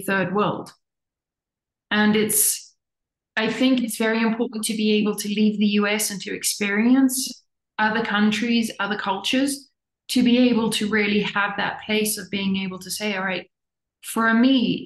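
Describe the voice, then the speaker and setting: A middle-aged woman, born in the US and raised in Zimbabwe, talking earnestly as an interview guest and repeating words for emphasis. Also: medium pitch. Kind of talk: reflective conversational talk. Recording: echoey room.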